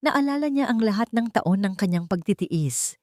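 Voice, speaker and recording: A woman's voice: mid-range voice, expressive, normal speed, a little mellow, dry recording, studio quality. A woman reading a scripted dramatic story as its narrator.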